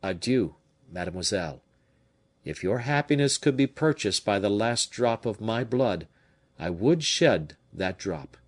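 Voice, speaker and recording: A man's voice: lowish voice, expressive, measured pace. A man reading an audiobook, voicing a heartbroken young male nobleman who speaks solemnly and earnestly. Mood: despairing.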